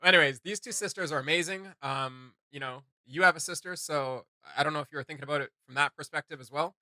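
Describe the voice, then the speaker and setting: Bright, forceful-voiced man chatting as co-host. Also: fairly high pitch, fast talker, no room sound, poor audio. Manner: animated, rambling, playfully emphatic. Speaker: co-host of a reaction video channel. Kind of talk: casual chatty reaction commentary.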